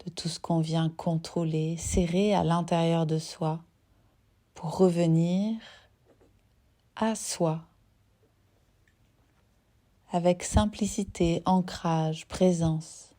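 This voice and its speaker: A woman leads a guided yoga nidra relaxation as the teacher, soothing and unhurried, leaving long pauses between short phrases. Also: fairly low pitch, fairly even intonation, mellow. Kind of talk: guided meditation.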